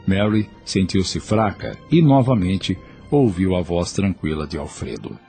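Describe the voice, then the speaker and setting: A man's voice: low voice, animated, measured pace, dark tone, breathy edge, full character voice. A man narrating a scripted audio drama as storyteller. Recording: music under the voice.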